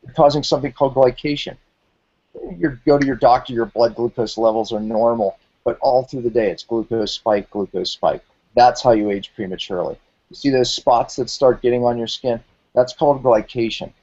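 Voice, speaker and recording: A man's voice: medium pitch, normal speed, a little mellow. Guy in his 40s hosting a health show, hammering points home, slightly dull lo-fi sound. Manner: emphatic, repetitive phrasing. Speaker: health talk show host. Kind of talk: explanatory health talk.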